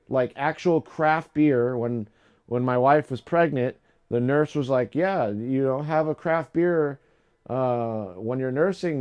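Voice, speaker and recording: Rambling low-voiced man on a livestream. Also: a little mellow, clean tone, highs cut off. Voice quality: low voice today. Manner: rambling, many fillers and restarts. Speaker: live stream host. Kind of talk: casual livestream chat.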